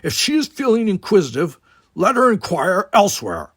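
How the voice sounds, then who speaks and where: A man's voice: high-pitched, huge pitch swings, moderate pace, a bit bright, slightly rough, dry recording, clean studio sound, pitched above the performer's own voice, full character voice. A man voicing a stern, proud young alien warrior cadet who speaks in a stiff, formal, emphatic way. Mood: firm, defiant.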